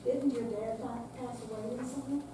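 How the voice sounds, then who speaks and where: In a very echoey room with noticeable noise, an older actress plays a supportive woman friend in a stage reading. Her voice sits a little high and comes from away from the mic, with an emphatic, curious delivery. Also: steady tone, moderate pace, recorded from a distance, natural voice. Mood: concerned, questioning. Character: curious, supportive female friend asking blunt questions. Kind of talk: scripted dramatic reading. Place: senior center room, live performance.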